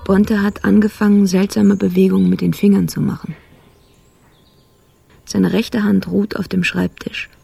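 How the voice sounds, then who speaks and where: A woman playing a watchful observer in a radio drama, narrating small gestures in a hushed, detached and precise way. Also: mid-range voice, flat delivery, a little slow, gravelly, breathy edge, no echo, full character voice. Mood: hushed, observant.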